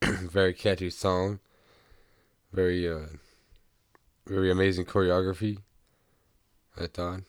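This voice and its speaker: A young man with a very low voice chats loosely about music news while fighting off a cold. He talks easily and rambles, and he clears his throat and laughs lightly now and then. The sound is close and dry, with no room around it. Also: level tone, a little slow, pauses often, no room sound. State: sick, voice a bit off. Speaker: podcast host.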